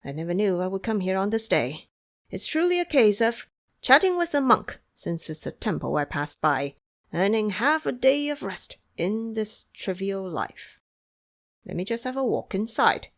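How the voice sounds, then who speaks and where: Woman voicing a snooty young prince, hot temper, bossy n formal, pitch jumps around, audio drama style. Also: big pitch swings, moderate pace. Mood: musing. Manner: imperious, formal, demanding.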